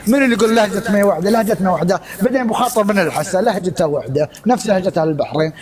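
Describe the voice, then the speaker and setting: A man on a live stream who argues insistently at a rapid-fire pace, repeating himself. His voice is high and lively. Loud crowd noise fills the background. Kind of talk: heated live-stream banter.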